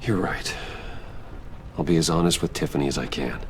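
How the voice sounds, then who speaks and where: An actor with an American accent playing a curt, brooding, wealthy vigilante in a video game. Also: male voice, very deep voice, moderate pace, a little hiss or hum. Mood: curt, guarded.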